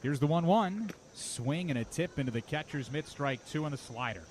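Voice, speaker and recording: A man calling live baseball on the radio as play-by-play announcer, switching between clipped pitch calls and flowing commentary. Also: lively intonation, moderate pace. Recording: clear outdoor ballpark noise.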